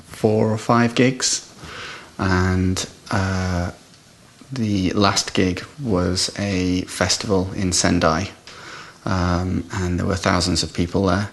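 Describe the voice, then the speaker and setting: A man telling a personal anecdote off the cuff in a hesitant, halting way. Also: deep voice, level tone, gravelly. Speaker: musician in a band, interviewee.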